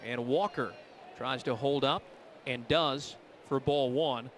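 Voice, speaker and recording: A man's voice: mid-range voice, slow, a bit bright, slight background noise. A man calling a baseball game live as the play-by-play announcer.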